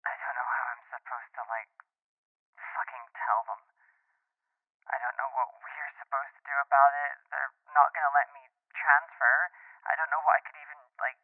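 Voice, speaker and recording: An actor playing a distressed, helpless man in an audio drama, halting and rambling through his worries. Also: mid-range voice, dry recording, narrow, tinny audio, natural voice. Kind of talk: naturalistic audio drama monologue.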